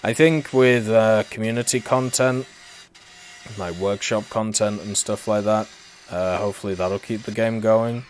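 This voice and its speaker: A young British man whose accent has softened over years in Canada, chatting casually while streaming a game and answering chat. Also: fairly low pitch, steady tone, unhurried, slight background noise. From Britain. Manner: laid-back, rambling, answers chat. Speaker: livestreamer. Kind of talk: casual gameplay commentary.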